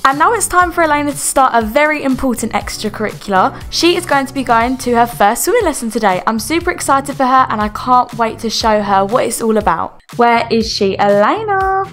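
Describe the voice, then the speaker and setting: A woman's voice: fairly high pitch, expressive, fairly quick, hardly any pauses, a bit bright, faint music behind. A young woman with a British accent, chirpy and exclaiming, doing a game roleplay vlog as the mum. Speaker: video creator playing a mum in a game roleplay.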